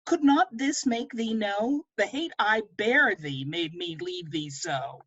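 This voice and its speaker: Lovestruck young suitor woman reader, Shakespeare verse: earnest, animated, slightly raspy, dull lo-fi call recording. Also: medium pitch, slow pace, heavily acted. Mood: dismissive. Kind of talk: amateur Shakespeare table read in verse. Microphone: video call. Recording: muffled lo-fi video call.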